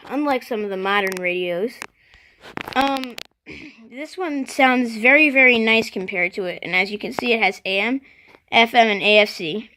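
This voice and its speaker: A vlogger casually showing off a collection, speaking unscripted and haltingly, often stopping to clear the throat. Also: medium pitch, fairly bright tone, slight background noise, poor audio. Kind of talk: casual unscripted show-and-tell.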